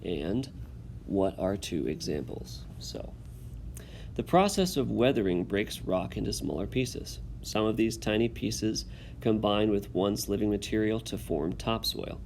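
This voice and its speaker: A man teaching in an instructional video, reading a text aloud and pausing to give clear directions and check understanding. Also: on the low side, animated, darkish tone, slight background noise.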